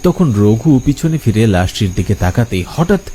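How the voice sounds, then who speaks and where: A man's voice: fairly low pitch, very animated, normal speed. A man narrating a scripted horror story as storyteller, with night crickets behind him.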